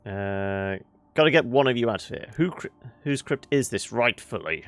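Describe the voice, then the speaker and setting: A man's voice: medium pitch, animated, normal speed, a bit bright, clear, resonant tone, no echo. A man streaming a video game and chatting with wry asides. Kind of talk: casual let's play commentary.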